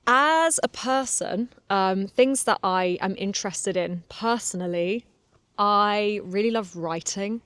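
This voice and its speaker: A woman's voice: medium pitch, slow, a bit bright, dry recording. A woman in her twenties from Cornwall with a Southern English accent, a touch of London, chatting candidly as an English teacher on a podcast for learners, with frequent laughs and fillers. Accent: Southern English, slightly London. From Cornwall, England. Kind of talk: relaxed solo podcast monologue for learners.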